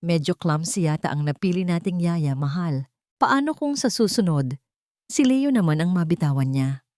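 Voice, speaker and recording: A woman's voice: on the low side, animated, normal speed, a little mellow, no room sound, studio quality, lightly acted. A woman voicing a suspicious, falsely concerned wealthy woman in an audio storybook drama, speaking in hints.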